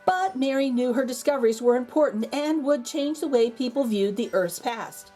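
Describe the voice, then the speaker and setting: A woman reading a children's picture book aloud as a storytime host, theatrically, with dramatic pauses and emphasis. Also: high-pitched, animated, warm tone, faint music behind.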